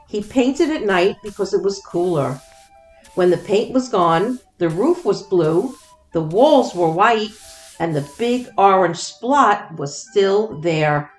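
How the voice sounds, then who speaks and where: A woman narrating a children's storybook expressively, with deliberate, dramatic phrasing. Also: medium pitch, rough recording. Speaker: children's book read-aloud host.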